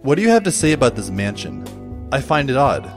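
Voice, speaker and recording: A man plays a long-suffering schoolboy telling his own story in an audio drama. His mid-pitched voice is dry and sardonic, with literary phrasing, and faint music runs behind it. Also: wildly swooping pitch, fairly quick. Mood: admiring, dry. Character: wry, long-suffering teenage schoolboy narrator. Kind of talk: first-person audio drama narration.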